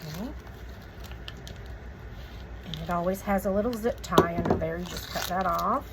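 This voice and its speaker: Slightly croaky woman teaching a craft. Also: lowish voice, normal speed, heavy background noise. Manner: relaxed, chatty instructions with fillers. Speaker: craft tutorial host. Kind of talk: step-by-step craft demonstration.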